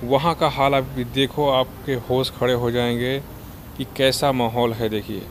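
A man's voice: mid-range voice, flat delivery, measured pace. Young Indian man vlogging on noisy streets. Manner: explains step by step, addresses viewers directly. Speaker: travel vlogger. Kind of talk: walking tour narration. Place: outdoors in busy city streets and on a bus. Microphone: handheld phone camera. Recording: street and traffic noise behind.

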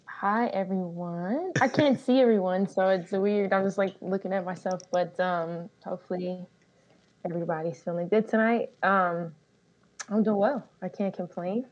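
Female guest, thirties, low-pitched and easygoing, slightly muffled recording. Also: moderate pace. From Colorado, USA. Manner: relaxed, self-deprecating, laughs easily. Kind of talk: casual interview storytelling. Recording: slightly dull lo-fi audio.

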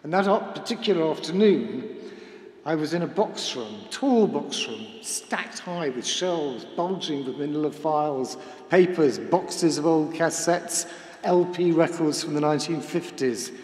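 An older man delivers a formal spoken tribute in a slightly high voice. His phrasing is measured and literary. The sound is very echoey, with the long reverb of a big church. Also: expressive, darkish tone. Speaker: memorial speaker. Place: large church, speaking to a gathering.

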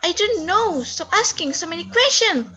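An irritable animal aunt acted by a young woman for a readers theater. She sounds high-pitched and fed up with questions. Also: lively intonation, normal speed, bright, forceful tone, exaggerated voice. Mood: annoyed. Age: young adult. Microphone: video call microphone.

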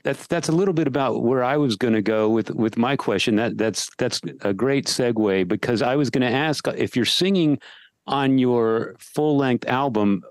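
A man's voice: medium pitch, moderate pace, no echo. Man host, stuttery starts, dry mic. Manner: repeats words when starting. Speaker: podcast host. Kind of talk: casual interview questions.

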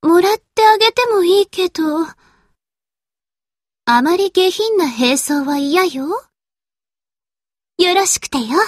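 Very high voice, haughty young lady, anime game character, prim ladylike diction, slow delivery, dry recording. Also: a bit bright, no echo, exaggerated voice. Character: haughty, refined young lady warship girl.

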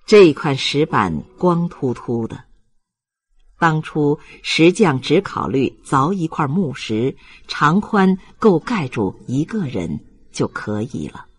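A man reading a literary audiobook as narrator in a solemn, measured and expressive manner. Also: on the high side, husky.

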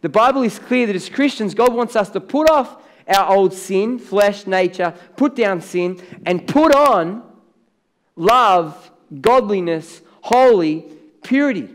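Australian pastor preaching, higher-pitched man. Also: expressive, slow. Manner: conversational preaching, rising to emphatic on key words. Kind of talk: sermon. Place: large church stage with blue lighting.

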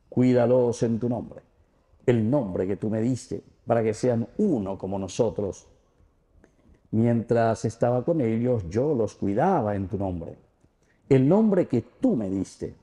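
A man's voice: medium pitch, mellow. An older man with a Paraguayan accent, a priest speaking emphatically and devotionally at Mass. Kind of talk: gospel reading and homily at Mass. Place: indoor chapel. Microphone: small mic clipped to vestment.

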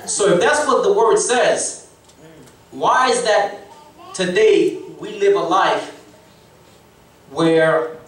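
A man in his thirties from Haiti with a Haitian accent, preaching an exhorting sermon as guest preacher. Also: a little high. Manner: exhorting, preaching to the congregation with rising emphasis. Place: church sanctuary, mid-size, indoors. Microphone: handheld mic, far camera. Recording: echoey church heard from far off. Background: congregation responding.

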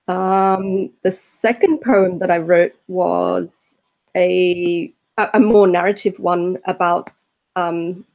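A woman's voice: on the low side, darkish tone, low-quality recording. A young woman poet on a video call, explaining her poems unhurriedly, with many fillers, from a room at home. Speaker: poet, panel guest. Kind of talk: casual video-call talk about her work. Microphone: laptop webcam on a video call.

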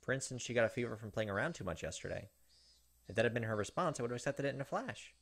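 A man's voice: mid-range voice, lively intonation, very fast, vocal fry, fairly bright tone. A man, reading visual novel narration aloud with asides into a close microphone. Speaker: let's play host. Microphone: close desk microphone. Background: game music.

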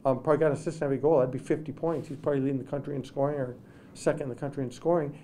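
A middle-aged male hockey coach speaks into table microphones at a postgame press conference. His voice is mellow and darkish, with a faint hum behind it. He talks in halting stretches, restarting sentences and leaning on "you know.". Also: medium pitch, steady tone. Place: press room with sponsor backdrop. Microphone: table microphones in front.

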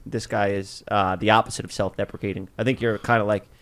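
A man's voice: on the low side, little pitch movement, fast talker, a bit bright. A man in his thirties from New York, hosting a podcast in a small studio with an upbeat, joking manner. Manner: upbeat, joking, eggs guests on. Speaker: podcast host. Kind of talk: casual podcast chat. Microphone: studio mic on boom arm, headphones.

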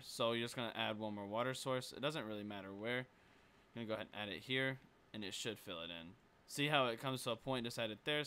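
Young guy w a sore throat explaining a game build, kinda rambly, clear bright voice. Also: mid-range voice. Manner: casual, rambling, lots of fillers. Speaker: gaming tutorial creator. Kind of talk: casual game tutorial voice-over.

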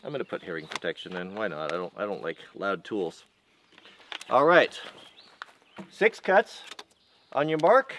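A man's voice: deep voice, big pitch swings, fairly bright tone, slight background noise. Rambling man demoing outdoors. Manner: rambling, halting, full of restarts and fillers. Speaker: vlogger testing chainsaws. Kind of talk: casual hands-on demonstration. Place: outdoors in a yard by a tractor.

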